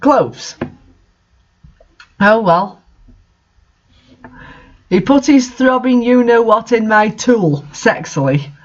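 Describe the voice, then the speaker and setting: A man's voice: high-pitched, lively intonation, slow pace, pauses often, highs cut off. A man doing a mocking read-aloud of bad fan fiction, with incredulous, sarcastic asides in casual commentary.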